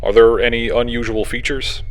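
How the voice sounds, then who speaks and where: A man voicing a calm male doctor who asks short, clinical questions. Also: mid-range voice, normal speed, lightly acted, rough recording. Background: music.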